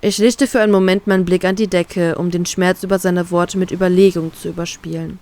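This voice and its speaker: A woman's voice: lowish voice, steady tone, a little fast, dark tone. A woman reading a first-person fan fiction story aloud, voicing a heartbroken inner monologue.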